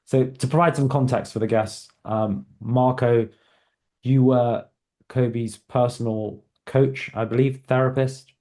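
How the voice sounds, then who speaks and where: A man hosting a podcast, asking his guest questions in a measured way and searching for his words. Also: mid-range voice, steady tone, warm tone. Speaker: podcast host. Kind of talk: interview questions.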